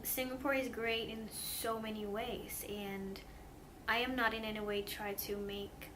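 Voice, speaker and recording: A woman's voice: fairly high pitch, background noise. A young woman from Sweden, a native Swedish speaker, talking casually to her camera in an earnest, hesitant way, with many fillers and restarts. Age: young adult. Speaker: vlogger. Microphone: camera mic.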